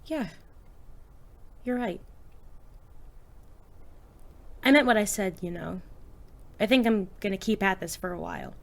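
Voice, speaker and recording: A woman's voice: medium pitch, lively intonation, moderate pace, creaky. Woman acting teen superhero girl, wry n guarded, everyday voice, audio drama. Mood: sincere.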